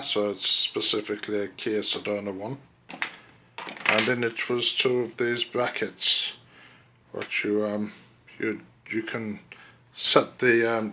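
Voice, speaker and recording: A man casually narrating a hands-on project as he works, halting and thinking aloud, recorded indoors on a handheld camera. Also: fairly low pitch, sharp tone, a little hiss or hum. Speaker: DIY video host. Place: room indoors. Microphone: handheld camera mic.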